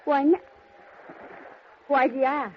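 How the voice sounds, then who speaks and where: A woman's voice: high-pitched, steady tone, slow, background noise. A woman playing an earnest, anxious young American woman in a 1940s radio drama. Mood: puzzled. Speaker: radio actress. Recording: muffled radio recording, loud crowd behind.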